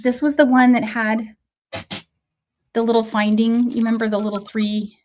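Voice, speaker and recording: A woman's voice: on the high side, level tone, moderate pace, darkish tone. A woman with a cold, coughing now and then, hosting a casual livestream beading tutorial and chatting with viewers by name.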